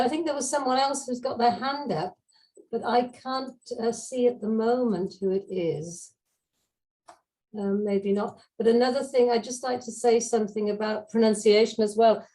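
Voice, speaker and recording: A British woman, a webinar speaker, answering audience questions in a measured, conversational way over a video call. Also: fairly high pitch, dark tone, rough recording. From United Kingdom. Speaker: webinar speaker, language expert.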